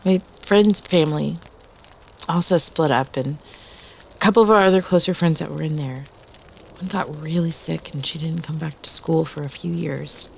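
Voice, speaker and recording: A woman acting as a student telling a ghost story at a campfire, with a husky voice and dramatic pauses. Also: sits low, a little hiss or hum, poor audio. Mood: somber, eerie. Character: young woman student telling a spooky doll story, playful prankster. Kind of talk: audio roleplay campfire storytelling.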